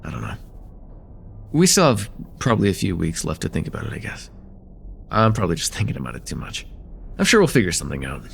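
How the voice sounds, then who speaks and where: A man's voice: low-pitched, wildly swooping pitch, fast, vocal fry, long pauses, slight background noise, exaggerated voice. A young man playing a friendly, slightly nervous college student in a casual, stammering slice-of-life ASMR roleplay chat. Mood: disappointed, wistful. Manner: hesitant, stammering, self-deprecating, casual.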